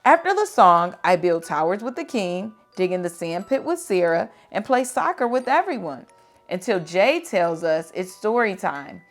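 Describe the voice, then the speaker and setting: A woman reading children's picture books aloud in an expressive, dramatic and deliberate storytelling style. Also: on the low side, soft background music.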